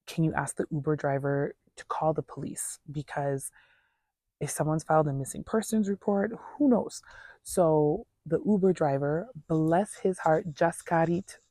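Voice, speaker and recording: A woman's voice: low voice, a little slow, warm tone, no room sound. A woman telling a personal story in a casual, earnest, conversational way, voicing reported dialogue as she goes. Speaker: storyteller vlogger.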